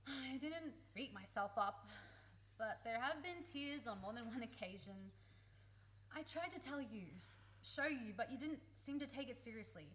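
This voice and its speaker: High-voiced, lovesick young woman, played by an actress. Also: animated, moderate pace, a bit bright. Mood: vulnerable. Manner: emotional, pleading, sweary, blunt. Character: young woman, lovesick, blunt, hurt best friend confessing love. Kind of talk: radio play acting. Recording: faint background noise, live recording.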